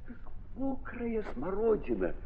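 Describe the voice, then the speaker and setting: A man narrating a literary drama in an expressive, deliberate 1930s theatrical style, with dramatic pauses. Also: very high-pitched, gravelly. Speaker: narrator, stage actor. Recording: muffled 1930s recording, long reverberant hall.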